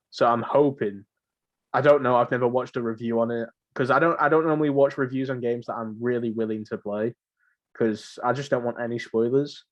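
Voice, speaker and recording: Male, seventeen, mid-pitched voice. Informal podcast co-host, slangy and jokey, chatting casually. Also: lo-fi sound. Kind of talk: casual chat about video games.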